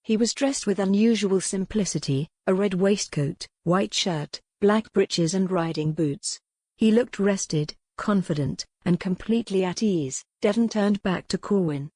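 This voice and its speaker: A woman's voice: medium pitch, measured pace, mellow, no room sound, clean studio sound. A woman reading a historical romance novel as a scripted, dramatic audiobook narration.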